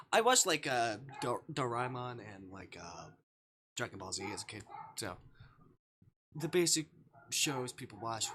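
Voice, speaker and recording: A man chatting casually on a game livestream, thinking aloud in a halting way. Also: medium pitch, pushed and bright, a little hiss or hum. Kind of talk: casual livestream chat while playing a game.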